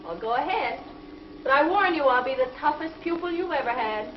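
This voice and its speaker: A sassy young space co-pilot. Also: female voice, high-pitched, lively intonation, brisk, a little hiss or hum. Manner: sassy, defiant. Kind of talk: 1950s TV drama acting. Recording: dull lo-fi 1950s TV soundtrack with loud music.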